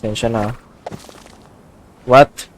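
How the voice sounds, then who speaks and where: Slow-paced Filipino man livestreaming. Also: medium pitch, fairly even intonation. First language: Tagalog. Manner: mixes Tagalog and English. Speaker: game livestreamer. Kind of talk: casual livestream chat over gameplay. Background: game music.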